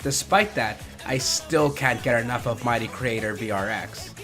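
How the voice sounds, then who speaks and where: A man's voice: mid-range voice, moderate pace, over loud music. A man giving casual commentary as the host of a countdown video.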